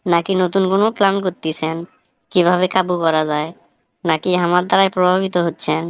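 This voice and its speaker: A woman's voice: lowish voice, steady tone, fairly quick, clear, resonant tone, no room sound, lightly acted. A woman voicing a witty, defiant young village man in a comic cartoon, with a northern Bangladeshi accent and a wry, argumentative manner. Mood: teasing, accusing. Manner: argumentative, wry, rhetorical questioning.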